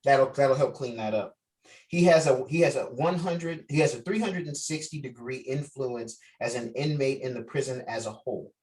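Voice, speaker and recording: A man's voice: medium pitch, moderate pace, a little mellow. A man directing a script table read on a video call, switching between reading stage directions and coaching actors. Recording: distant mic on video call.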